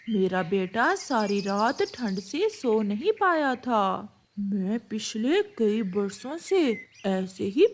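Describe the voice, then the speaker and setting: Actress doing a wise old tree spirit, low slow lines, animated, warm. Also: female voice, a little hiss or hum. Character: old kindly male magic berry tree. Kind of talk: Hindi cartoon voice acting.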